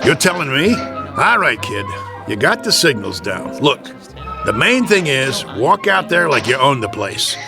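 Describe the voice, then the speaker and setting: An actor playing a gruff, bossy, streetwise American baseball manager in a radio drama, blunt and slangy, with music behind him. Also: male voice, mid-range voice, big pitch swings, unhurried. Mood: coaxing pep talk.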